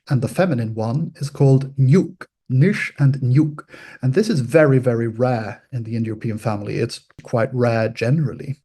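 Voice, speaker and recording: A man whose first language is Swedish, explaining ancient grammar in an informal scholarly way, pausing to restate and qualify his points. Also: mid-range voice, warm tone, slightly rough.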